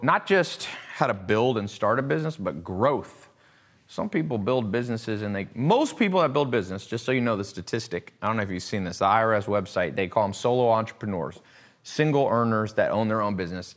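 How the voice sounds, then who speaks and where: A man's voice: lowish voice, a bit bright. A man hosting an interview, chatty and eager, often restarting his sentences. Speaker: interview host. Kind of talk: casual interview talk.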